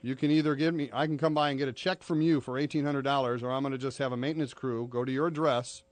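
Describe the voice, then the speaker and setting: A man's voice: medium pitch, fairly even intonation, fast, no room sound. An American man, a radio host making a prank phone call in a conversational, matter-of-fact but insistent way. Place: radio studio, dry close sound.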